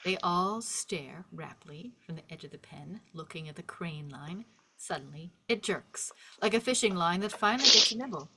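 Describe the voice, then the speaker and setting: A woman's voice: lowish voice, animated, normal speed, pushed and bright, slight background noise, rough recording. A woman reading stage directions as a scripted narrator, in a vivid descriptive storytelling manner.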